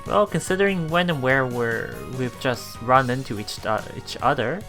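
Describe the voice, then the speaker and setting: A man's voice: medium pitch, lively intonation, normal speed, fairly bright tone. A man hosting a let's play, reading visual novel text aloud with casual, musing commentary. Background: game music.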